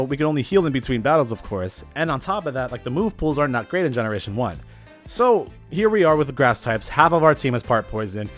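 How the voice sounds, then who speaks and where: A man giving a casual, scripted voice-over for a video game challenge, with slangy, animated asides. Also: medium pitch. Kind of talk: casual scripted gameplay narration.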